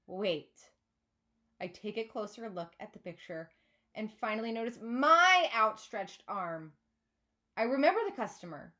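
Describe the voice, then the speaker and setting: Animated, high-voiced woman reading a story aloud. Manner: expressive, dramatised. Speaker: teacher reading a book aloud. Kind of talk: expressive children's audiobook narration.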